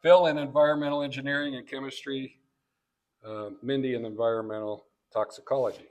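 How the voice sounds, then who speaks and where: Man with halting delivery, mid-range voice. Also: medium pitch, fairly even intonation, a little mellow, low-quality recording. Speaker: presenter introducing guests. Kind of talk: banquet introduction speech, read from notes with asides. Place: banquet event room.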